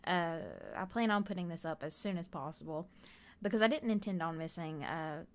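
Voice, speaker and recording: A hoarse woman giving a casual, rambling voiceover for a building video from her bedroom at home, with lots of fillers and soft laughs. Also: on the low side, moderate pace. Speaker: gaming video creator.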